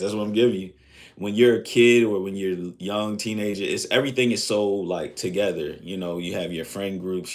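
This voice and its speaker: A man in his thirties chatting casually on a live stream, rambling and reflective, with lots of fillers. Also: low-pitched. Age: 30s.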